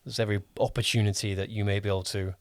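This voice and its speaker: A man's voice: lowish voice, flat delivery, racing, clean tone, no room sound. A man hosting a podcast, interviewing a guest in a casual, conversational style.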